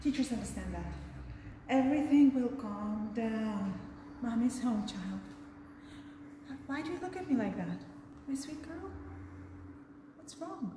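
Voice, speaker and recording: An actress playing a middle-aged mother and teacher who reassures gently. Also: fairly high pitch, animated, measured pace, pauses often, noisy background. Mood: soothing. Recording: echoey hall from far off.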